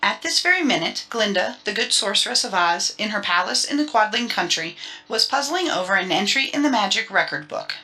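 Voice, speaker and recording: A woman's voice: medium pitch, expressive, a little fast, bright, forceful tone, faint music behind. A woman reading a children's storybook as a dramatic audiobook narrator.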